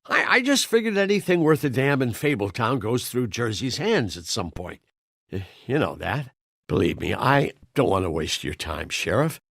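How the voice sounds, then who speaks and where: An actor playing a cowardly, evasive butcher who stammers apologetically in a dramatic video game. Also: male voice, medium pitch, very animated, moderate pace, vocal fry, fairly bright tone, heavily acted. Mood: nervous, evasive. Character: nervous, cowardly male butcher shop owner, evasive and pleading.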